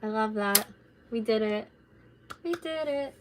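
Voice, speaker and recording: A woman in her late twenties, slightly raspy from talking, chatting casually and rambling while she crafts at home on a live stream. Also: fairly high pitch, unhurried, noisy background. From North America. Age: late 20s. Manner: rambling, chatty, laid-back with filler words.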